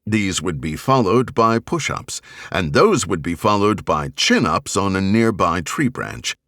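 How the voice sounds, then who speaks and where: Male narrator for dramatic fiction: deep, measured, serious, recorded dry and close. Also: big pitch swings, fairly bright tone, no echo. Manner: measured, grave, deliberate phrasing. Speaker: audiobook narrator. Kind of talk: scripted dramatic novel narration.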